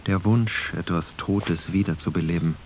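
An actor playing a reflective male essayist, narrating in a measured, solemn way for a radio play. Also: low voice, steady tone, darkish tone, slight background noise.

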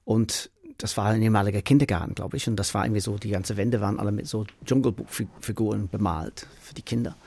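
A man's voice: fairly low pitch, steady tone, fast, gravelly, hardly any pauses, darkish tone, breathy edge, no room sound. An older man from Manchester, a native English speaker with an English accent, tells casual anecdotes as an interview guest in a rambling way, repeating words. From Manchester, England.

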